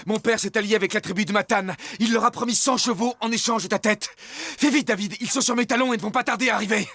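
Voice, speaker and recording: A man voicing an earnest, loyal young prince in a dubbed animated Bible drama. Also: high-pitched, fast, no echo, exaggerated voice. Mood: urgent.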